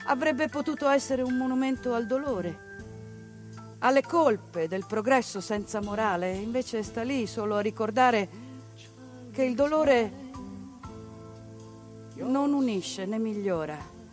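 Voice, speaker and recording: A woman actress performing a theatrical monologue, narrating with passion and dramatic swings between hushed and loud delivery. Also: a little high, lively intonation, measured pace, long pauses, faint music behind. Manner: impassioned, dramatic swings in loudness. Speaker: stage actress. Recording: radio broadcast of a stage piece.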